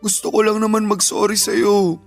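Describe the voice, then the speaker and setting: A man's voice: high-pitched, level tone, normal speed, gravelly, faint music behind. A man acting as a remorseful, desperate ex-boyfriend who pleads insistently in a Filipino radio drama. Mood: pleading. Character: remorseful, desperate ex-boyfriend begging for another chance.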